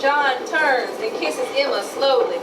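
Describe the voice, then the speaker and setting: A woman's voice: sits high, measured pace. A woman narrating a play, reading out scripted stage directions. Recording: roomy, distant mic, noisy.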